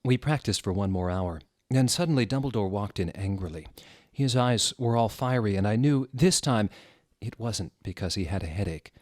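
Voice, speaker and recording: A man's voice: on the low side, animated, moderate pace, hardly any pauses, darkish tone, no echo, studio quality. A man hosting a dramatic comedic reading, theatrical and wry, with casual asides. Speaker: host reading fan fiction aloud.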